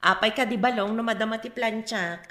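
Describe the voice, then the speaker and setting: Neighborly Filipino godmother, radio comedy; conversational, slightly high, roomy sound. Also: brisk, sharp tone. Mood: casual. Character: neighborly godmother putting off a borrower. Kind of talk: comedy radio drama acting.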